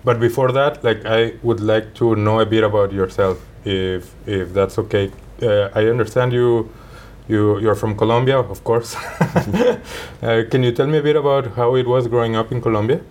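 A man's voice: lowish voice, fairly even intonation, normal speed, darkish tone. A man hosting his own interview podcast, reading a prepared introduction with small stumbles and restarts, then asking his guest questions. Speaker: podcast host.

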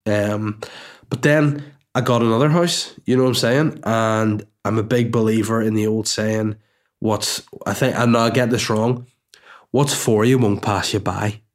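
A man's voice: lowish voice, fairly even intonation, unhurried. A man with a stuffy, congested voice, telling a rambling, chatty personal story at home as a podcast host, with swearing and asides. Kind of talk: casual storytelling monologue.

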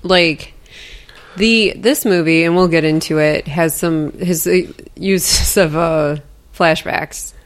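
A woman's voice: fairly low pitch, fairly even intonation, slow pace. A woman co-hosting a casual movie podcast, chatty and giggly, often trailing off and starting over. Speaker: podcast co-host.

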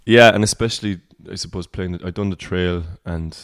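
This is a Cork Irish man with a deep baritone voice that sits very low. His delivery stays level, with little rise and fall. He sounds reflective and wanders through his thoughts in a casual podcast chat, recorded close with a dry sound. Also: steady tone, a little fast, smooth, no room sound. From Cork, Ireland. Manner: reflective, rambling. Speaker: singer-songwriter guest.

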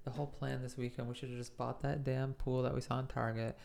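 A man's voice: medium pitch, level tone, fast, creaky, a little mellow. A man co-hosting a podcast, chatting casually in a slangy, crude, joking way. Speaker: podcast co-host.

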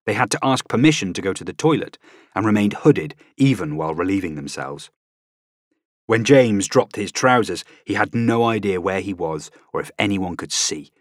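A man's voice: low voice, dry recording, clean studio sound. A man reading scripted nonfiction as an audiobook narrator, with a dramatic, emphatic delivery.

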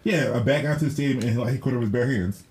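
A man co-hosting a podcast, chiming in with quick, laid-back remarks in casual chat. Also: mid-range voice, darkish tone. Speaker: podcast co-host.

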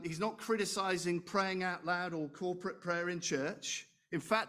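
A man preaching a sermon, loud and animated, chatting with asides and rhetorical questions. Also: a little high.

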